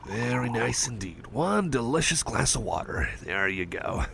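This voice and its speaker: A man's voice: mid-range voice, sing-song, unhurried, vocal fry, heavily acted. A man playing a shy, caring cyborg friend in an ASMR roleplay, speaking hesitantly with stammers and earnest reassurance. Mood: concerned, caring. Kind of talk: ASMR personal attention roleplay. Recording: faint background noise and ambience.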